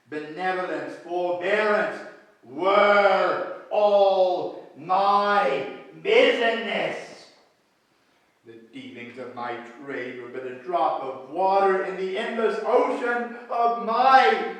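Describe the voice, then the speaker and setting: A man's voice: sits high, fairly bright tone, exaggerated voice. An older man on stage playing the solemn, tormented ghost of a dead businessman, declaiming his remorse theatrically. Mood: impassioned lament. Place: small indoor theatre room with audience. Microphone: far camera. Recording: echoey small hall, distant microphone.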